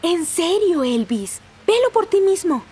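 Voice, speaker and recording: A woman's voice: very high-pitched, sing-song, unhurried, a little hiss or hum, exaggerated voice. An actress voicing an earnest, caring female firefighter in a children's cartoon. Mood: concerned. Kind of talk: animated children's series dub. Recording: loud music behind the voice. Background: music.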